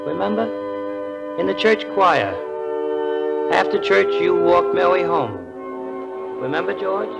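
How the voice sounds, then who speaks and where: Radio play role: folksy, knowing listener, very creaky high voice, loud music underneath. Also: male voice, unhurried, pauses often, muffled recording, exaggerated voice. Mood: nostalgic, coaxing. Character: gentle, knowing male listener prompting a life story. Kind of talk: old radio drama acting. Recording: music behind an old radio recording.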